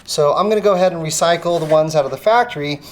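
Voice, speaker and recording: A woman's voice: sits low, fast talker. A woman presenting an informal step-by-step repair tutorial, talking casually while working with her hands. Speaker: presenter of a how-to car repair video. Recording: clearly noisy, clicking tool sounds.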